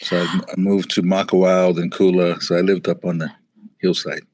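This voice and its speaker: A man's voice: low-pitched, flat delivery, normal speed, a little mellow, no room sound. A man in his forties from Hawaii, a guest on a podcast, telling stories in an easygoing, conversational way. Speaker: guest, motivational speaker. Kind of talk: casual interview.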